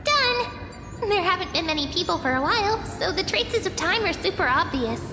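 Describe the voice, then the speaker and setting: Squeaky, perky little magical creature. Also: female voice, sing-song, moderate pace, exaggerated voice. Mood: eager, cheerful. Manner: perky, cute. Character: tiny cheerful magical companion creature, eager and helpful. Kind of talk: game voice acting. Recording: echoey reverb, music behind.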